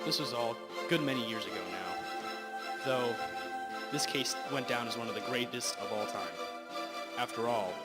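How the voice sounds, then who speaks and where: A man's voice: mid-range voice, moderate pace, long pauses, lightly acted. A young man playing the storyteller of a detective radio drama, reading the script with occasional stumbles. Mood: reminiscing. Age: teenager or young adult. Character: male storyteller recalling an old detective case. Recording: loud music over amateur recording.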